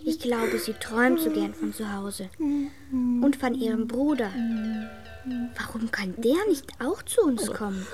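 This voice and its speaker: A woman's voice: on the high side, animated, unhurried, music in the background. A woman acting a young girl in a German radio drama, a bossy, protective big sister. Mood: matter-of-fact, caring.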